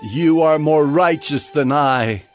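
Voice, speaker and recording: A man's voice: a little high, slow, faint music behind. A man acting a humbled older king in a dramatized Bible story, declaiming with emotional, pleading remorse. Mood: tearful remorse. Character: remorseful older king, weeping, humbled before his rival.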